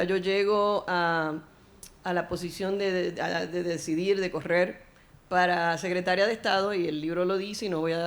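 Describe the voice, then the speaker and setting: A middle-aged woman from Puerto Rico with a Puerto Rican Spanish accent, speaking at a panel forum. She tells her story in an earnest, reflective way and often restarts her sentences. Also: fairly low pitch. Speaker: panel speaker, former elected official. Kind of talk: personal speech at a panel forum.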